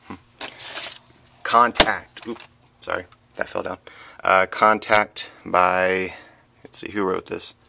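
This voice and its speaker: A noisy handheld home recording of a man with a very deep voice. His delivery has lots of vocal fry and is casual and unscripted. He hesitates and trails off as he goes through items one by one. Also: fairly even intonation, slow, pauses often, pushed and bright. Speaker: book vlogger. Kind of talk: casual chat showing a collection item by item. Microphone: handheld camera, close behind it. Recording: noisy home camera audio with handling clicks.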